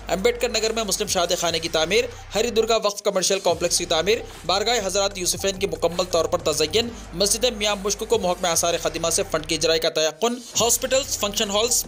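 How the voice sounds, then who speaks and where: A man's voice: sits high, fast, few pauses, fairly bright tone. A man reads a scripted news bulletin in a formal, declarative way. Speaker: news reader.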